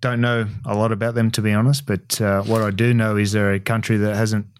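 A man in his forties with an Australian accent, a former footballer giving measured, plain-spoken opinions on a podcast. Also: fairly low pitch, level tone, clear, resonant tone, no room sound, clean studio sound. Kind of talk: casual sports punditry.

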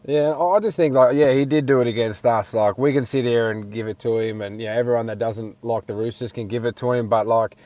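Aussie co-host, mid-pitch, ribbing the guest, dry close sound from his car. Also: fairly even intonation, a little fast, few pauses, mellow, no echo. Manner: teasing, chatty, lots of fillers. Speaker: co-host, rugby league player. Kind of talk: casual radio chat.

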